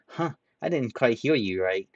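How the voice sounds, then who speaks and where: A man reading a story aloud, voicing a loud, boastful young man who picks fights and brags. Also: mid-range voice, expressive, husky, no room sound, muffled recording, voice pushed up. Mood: brash, indignant. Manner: shouting, bragging, quick to pick fights.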